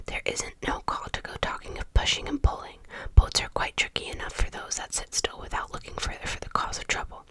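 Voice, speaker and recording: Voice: brisk, pushed and bright, lightly acted. A reader whispering the part of a gossipy, self-assured old male hobbit gardener, rambling folksy and opinionated. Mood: gossiping.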